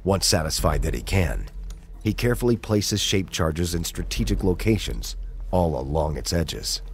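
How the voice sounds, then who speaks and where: A man's voice: sits low, expressive, normal speed. A man reading dramatic scripted narration as the narrator of an audio drama. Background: music and sound effects.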